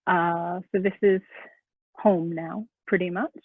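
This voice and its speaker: A woman in her thirties, originally from Florida, speaking softly and hesitantly with many pauses as an interview guest on a video call. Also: fairly low pitch, steady tone, breathy edge, no echo. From Florida, USA. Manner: soft-spoken, hesitant, with many ums and pauses. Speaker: artist and guest. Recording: narrow phone-like call audio.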